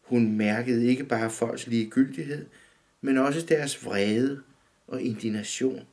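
Fifties guy reading his story out loud, gravelly, steady, mid pitch, dim room. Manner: calm, measured reading, often looking down at the text. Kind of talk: literary reading.

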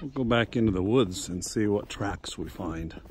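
A man's voice: on the low side, moderate pace, noisy background. A middle-aged man giving a casual walking tour of his farm, chatty and folksy, filmed outdoors on a handheld phone. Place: outdoors on a snowy farm and woods.

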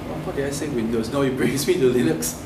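A man's voice: mid-range voice, quick pace. Man giving a tech talk in an echoey room. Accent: Singaporean English. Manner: loose, restarts phrases, explains step by step, gestures at screen. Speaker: meetup speaker. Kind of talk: live tech talk with slides. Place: meeting room with projector. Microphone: room camera mic from a distance. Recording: echoey room, distant mic, noisy.

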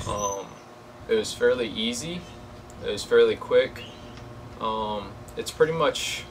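A man in his twenties talking casually through a how-to job as a DIY video host, recorded outdoors on a camera mic. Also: lowish voice. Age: 20s. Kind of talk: casual how-to vlog talk. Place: outdoors beside a shed. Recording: clearly noisy outdoor ambient hum.

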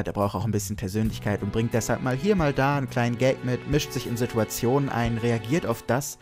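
A man presenting a project in scripted trailer narration. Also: mid-range voice. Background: background music.

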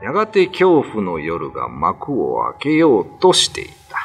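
Guy narrating a spooky radio tale, kinda low voice, swings around a lot. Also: huge pitch swings, measured pace, vocal fry. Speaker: narrator and father character. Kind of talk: radio drama reading. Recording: soft music bed.

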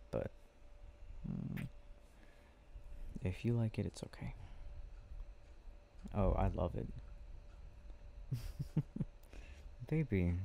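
Young male ASMR boyfriend, intimate and casual, mellow with a gravelly fry, faint noise and clicking behind. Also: sits low. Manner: intimate, unhurried, murmuring to a listener close by. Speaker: ASMR roleplay performer. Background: clicking and game ambience.

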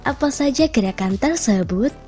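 Mellow woman narrating over soft music. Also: sits high, lively intonation, moderate pace. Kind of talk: scripted instructional narration. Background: background music.

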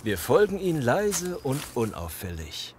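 An actor playing a menacing, power-hungry villain leader in an audio drama, with a theatrical, silky, commanding manner. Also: male voice, mid-range voice, wildly swooping pitch, measured pace, a little hiss or hum. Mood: smug, scheming.

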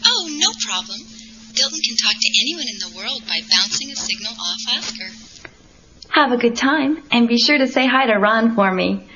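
A woman's voice: on the high side, animated, moderate pace, a loud crowd. A woman playing a sweet, friendly teenage girl heard through a ham radio in an audio drama. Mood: cheerful. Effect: ham radio filter. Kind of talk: dramatized comic-book audio story acting. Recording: muffled crackly radio transmission.

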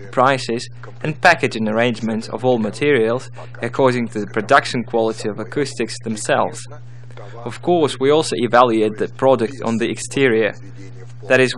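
Male tutorial narrator, mid-pitched, reading scripted instructional material. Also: a bit bright.

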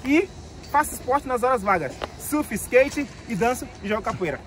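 A man's voice: extremely high pitch, normal speed, a little hiss or hum. A Brazilian man with a Brazilian Portuguese accent, chatting playfully and teaching snowboarding outdoors on a ski slope, recorded by a camera held by someone else. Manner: playful, joking, lively. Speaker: snowboard instructor. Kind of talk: casual chat.

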